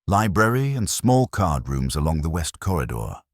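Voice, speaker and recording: A man playing a wry, brisk young private detective who talks in dry wisecracks, in an audiobook mystery. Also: extremely low pitch, very animated, no echo, full character voice. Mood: matter-of-fact.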